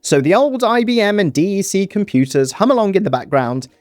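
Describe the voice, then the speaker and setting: Man, explainer presenter, scripted narration, casual asides, animated intonation, faint background music. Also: sits high, brisk, no room sound, studio quality.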